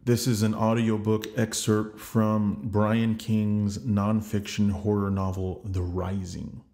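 A man's voice: on the low side, little pitch movement, slow, warm tone. A man working as a voice actor, reading scripted narration for a demo. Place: home recording setup.

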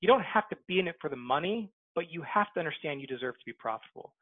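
A man's voice: fairly high pitch, expressive, very fast, breathy edge, no room sound. Man with a tinny, dry call-line voice. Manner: persuasive, repeats his core point. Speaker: guest, book author. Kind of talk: interview answers. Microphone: headset on a video call.

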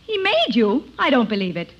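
A woman's voice: a little high, huge pitch swings, normal speed. An actress playing a stern, exasperated woman schoolteacher, flustered and scolding, in a 1950s radio comedy. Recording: dull old radio recording.

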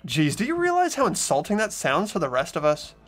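A man voicing an earnest, easily amazed ordinary high school boy in a visual novel game, questioning and incredulous. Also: huge pitch swings, a little fast, a bit bright, faint music behind, exaggerated voice.

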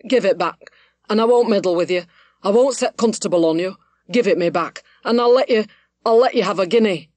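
A woman's voice: fairly low pitch, expressive, brisk, dry recording, close to an everyday voice. Woman as a distraught, pleading village weaver. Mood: pleading, desperate. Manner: gasping, entreating.

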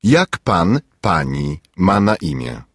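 Male language-course announcer, fairly low, highly animated melody, slow pace. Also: slightly rough, no echo, clean studio sound. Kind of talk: scripted language lesson narration.

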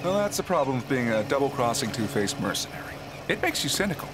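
A man voicing a sarcastic young treasure hunter who trades quick quips, in a fan-made audio drama. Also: mid-range voice, sing-song, heavy background noise, natural voice. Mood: wry. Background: loud music score.

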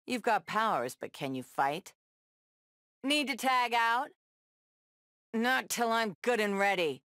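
An actress playing a tough, sardonic superheroine brawler in a video game, tossing out sassy, taunting one-liners. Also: female voice, on the high side, lively intonation, slow, fairly bright tone, no echo, clean studio sound. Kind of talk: video game fight banter.

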